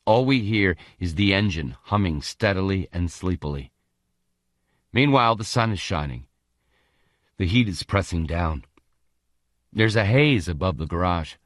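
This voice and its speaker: A man's voice: deep voice, lively intonation, unhurried, pauses often, no echo, studio quality. A man reading first-person fiction as an audiobook narrator, in a gritty, colloquial and dramatic storytelling manner.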